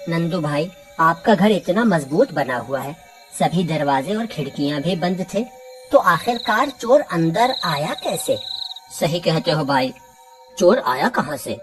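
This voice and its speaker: A man acting a high-voiced worried cartoon villager. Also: lively intonation, measured pace, over music. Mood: exasperated. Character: worried, exasperated village husbands and neighbours.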